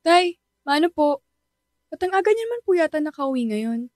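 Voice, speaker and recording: A woman's voice: sits high, big pitch swings, moderate pace, slight background noise. A woman voicing a polite, studious teenage child in a radio drama who talks respectfully to a parent.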